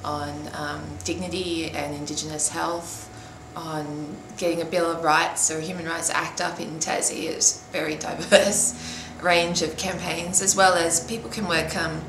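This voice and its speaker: A woman's voice: low voice, little pitch movement, a little slow, hardly any pauses. An Australian woman giving informal interview answers about volunteering work, speaking as someone who organises it at an office. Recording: roomy echo, clearly noisy background.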